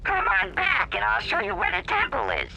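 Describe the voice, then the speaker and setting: An actor voicing a wary alien cave-dweller guide in a video game, with a gravelly, sharp voice. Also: male voice, very high-pitched, huge pitch swings, normal speed, slight background noise, full character voice, low-quality recording. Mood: grim warning.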